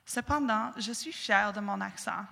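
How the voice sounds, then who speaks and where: A woman's voice: medium pitch, a little slow, creaky, pushed and bright. A young woman from southwestern Ontario with a southwestern Ontario French accent, giving an earnest prepared talk on stage as a student speaker. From southwestern Ontario, Canada. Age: young adult. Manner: earnest, declamatory with occasional restarts. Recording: long hall reverb.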